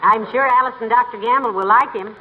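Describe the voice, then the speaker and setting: A woman's voice: on the high side, quick pace, slightly rough. Motherly housewife, tinny old radio. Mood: gently hospitable. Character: kindly, sensible middle-aged housewife, gently patient wife. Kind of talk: 1940s radio comedy acting. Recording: very noisy, tinny 1940s radio recording.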